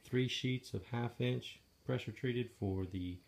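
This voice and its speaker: A man casually narrating, step by step, the assembly of a trailer he is building, talking in a plain, matter-of-fact way with some halting pauses, filmed on a handheld phone in a garage. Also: mid-range voice, vocal fry, darkish tone. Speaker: DIY video maker. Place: garage or shop and outdoors by a trailer. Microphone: handheld phone camera.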